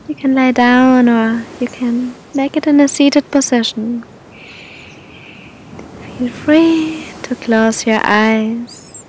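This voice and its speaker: A woman guiding a meditation in a gentle, soothing manner, speaking to the listener with long, unhurried pauses. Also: fairly even intonation. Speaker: meditation guide. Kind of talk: guided meditation, spoken softly to the listener. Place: outdoors on a beach. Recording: outdoor recording with low rumbling noise.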